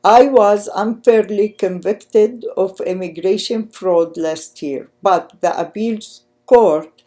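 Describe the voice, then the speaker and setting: Older woman, Palestinian Arabic accent, activist reading a prepared speech, unhurried pace, soft-edged warm tone, deliberate halting phrasing. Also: mid-range voice. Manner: deliberate, halting phrasing with stumbles over words. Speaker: activist speaker. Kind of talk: prepared political speech, read aloud.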